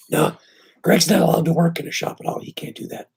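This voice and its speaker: Very raspy, hoarse man on a live stream. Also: on the high side, a little mellow. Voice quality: very raspy, breaking into breathy near-whisper. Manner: rambling, easygoing, filler-heavy. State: hoarse, voice weak after surgery. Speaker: knifemaker hosting a live stream. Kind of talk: casual live-stream chat.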